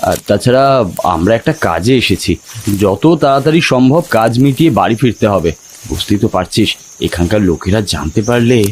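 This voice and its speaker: A man's voice: lowish voice, animated, moderate pace, a little hiss or hum, close to an everyday voice. A man playing a sceptical, hesitant young city man in an audio horror story, talking in a conversational, reasoning way. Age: young adult.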